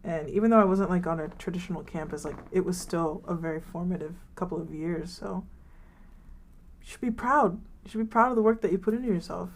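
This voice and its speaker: A young woman in her twenties talks casually as a podcast co-host, rambling through her stories with plenty of filler words. Her voice sits a little low for a woman. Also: brisk. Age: 20s. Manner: rambling, many likes and ums, animated retellings. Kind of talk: casual chat.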